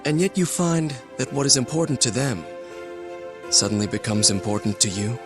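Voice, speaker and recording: An actor playing a gentle, thoughtful knight in a video game, composed and formal in his wording. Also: mid-range voice, animated, normal speed, over music, close to an everyday voice. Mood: thoughtful, calm. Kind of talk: video game cutscene acting.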